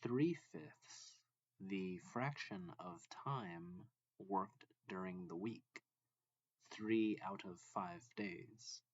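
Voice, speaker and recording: Slow, low-voiced man reading a lesson. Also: darkish tone, slightly rough, no room sound, muffled recording. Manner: deliberate, broken into short phrases. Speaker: math reading tutor. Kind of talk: slow read-aloud of a textbook lesson.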